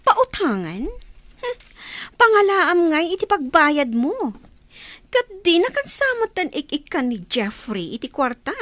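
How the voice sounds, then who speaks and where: A woman's voice: very high voice, very animated, measured pace, fairly bright tone, no echo, full character voice. A woman with an Ilocano accent playing a haughty, scornful young village woman in a radio drama.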